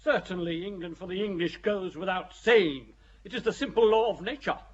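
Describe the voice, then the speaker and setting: An actor playing a blunt, bigoted English clerk in a radio play, speaking indignantly and self-righteously. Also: male voice, high-pitched, moderate pace, muffled recording.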